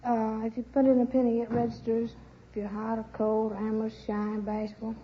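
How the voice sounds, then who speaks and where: A woman's voice: fairly high pitch, monotone, fairly quick. A woman answering briefly and with reserve as a contestant on a vintage radio quiz show. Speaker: contestant, arcade cashier. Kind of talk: unscripted quiz show interview. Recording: muffled vintage radio with hiss.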